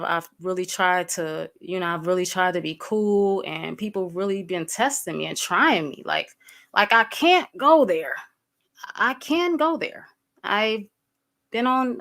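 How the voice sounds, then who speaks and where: A woman's voice: mid-range voice, a bit bright. Blunt, fired-up woman livestreaming. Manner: blunt, fired-up and profane, with frequent fillers; greets viewers by name and addresses them directly. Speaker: livestream host. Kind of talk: casual livestream talk to chat.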